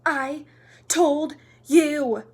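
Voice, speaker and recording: A young schoolgirl witch from a storybook, voiced by a woman narrator. She sounds high, emphatic and exasperated, scolding like a bossy know-it-all. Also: very slow, a little mellow, heavily acted. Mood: gasping, indignant.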